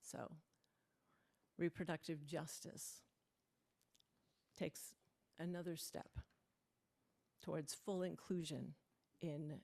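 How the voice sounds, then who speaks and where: A woman leading part of a worship service, reading a prepared reflection in a measured, deliberate way. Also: sits low, steady tone, gravelly, a little mellow. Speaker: worship leader.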